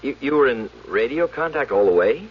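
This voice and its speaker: An actor playing an earnest, baffled official who speaks haltingly and with astonishment in a radio drama. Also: male voice, mid-range voice, expressive, slightly rough. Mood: shaken, disbelieving. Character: earnest, baffled male official attending a rescued pilot. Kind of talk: 1970s radio drama acting. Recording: dull vintage radio broadcast.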